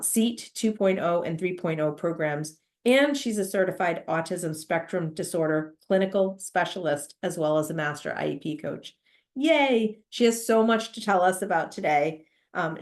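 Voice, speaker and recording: Nasal, effusive woman hosting a podcast. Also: lowish voice. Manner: effusive, enthusiastic. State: nasal from a cold. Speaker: podcast host. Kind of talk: podcast introduction and interview.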